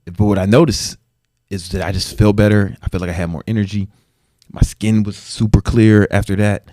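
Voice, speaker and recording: A man's voice: fairly low pitch, steady tone, moderate pace, warm tone, dry recording, clean studio sound. A man in his forties, a fitness trainer guesting on a podcast, talking in a laid-back, slangy way and laughing often. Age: 40s. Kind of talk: casual interview.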